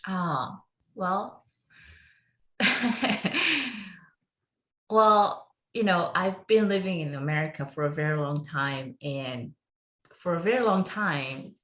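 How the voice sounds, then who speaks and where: Slow, chatty woman from Korea. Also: deep voice, fairly bright tone, echoey room. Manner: unhurried, chatty, laughs often, many fillers. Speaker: film composer, guest. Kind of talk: podcast interview.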